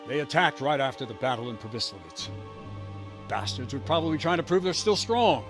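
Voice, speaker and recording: A man acting as a businesslike medieval captain in a game cutscene. His mid-range voice is brisk and soldierly, with guitar music clearly playing behind it. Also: medium pitch, expressive, natural voice. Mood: businesslike, concerned. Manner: brisk, soldierly, matter-of-fact. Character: dutiful, businesslike medieval guard captain reporting to his lord.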